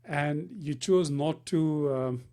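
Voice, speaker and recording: Mellow-voiced man hosting an interview. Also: fairly high pitch, slow pace. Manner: friendly, chatty, filler-heavy questions. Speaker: interview host. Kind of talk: casual interview.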